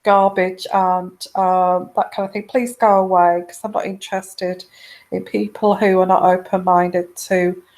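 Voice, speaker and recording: Slow, hesitant woman with a sore throat. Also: fairly low pitch, level tone, a little mellow. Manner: hesitant, many ums and restarts. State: sore throat from a virus, quiet voice. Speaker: host of a live tarot reading show. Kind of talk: casual live-stream chat.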